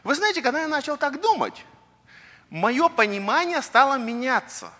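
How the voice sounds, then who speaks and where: A man's voice: extremely high pitch, big pitch swings, normal speed, bright, forceful tone. A middle-aged man preaching a conversational sermon, earnest and full of rhetorical questions, sometimes stumbling over words and restarting. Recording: long reverb of a large hall.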